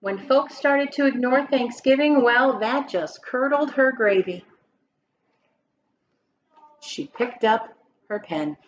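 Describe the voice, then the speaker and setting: A woman's voice: on the high side, expressive, takes it slowly, long pauses, muffled recording. A woman reading a children's picture book aloud like a teacher, with emphatic, dramatic phrasing and questions put to her listeners. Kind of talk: expressive children's story read-aloud.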